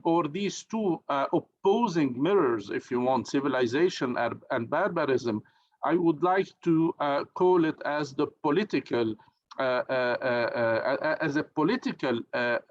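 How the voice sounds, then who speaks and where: A man who is a professor, speaking on an online conference panel, hesitant, with many fillers and restarts. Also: medium pitch. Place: online video call. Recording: dull lo-fi video call audio.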